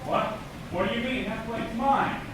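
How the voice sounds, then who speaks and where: Distant, echoing actor as a smug showboat. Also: male voice, very high voice, moderate pace. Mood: cocky. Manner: cocky, self-introducing showman. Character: smug, showboating male vocabulary word come to life. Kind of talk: theatrical stage comedy acting. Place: stage in a hall, recorded from afar. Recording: distant echoey stage recording, loud noise.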